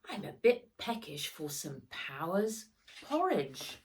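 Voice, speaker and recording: A woman's voice: low-pitched, very animated, slow pace, a little mellow, a little hiss or hum, heavily acted. Woman voicing a hearty old storybook grandpa. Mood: twinkling. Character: kindly old seafaring grandfather with twinkling good humour. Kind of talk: children's storybook read-aloud.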